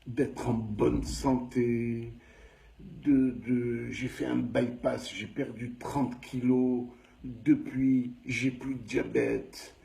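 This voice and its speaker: A man in his sixties with a Tunisian French accent, telling his life story slowly, stressing each step. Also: mid-range voice, vocal fry, rough recording. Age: 60s. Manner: slow, measured recounting with lively emphasis. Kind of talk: personal storytelling monologue.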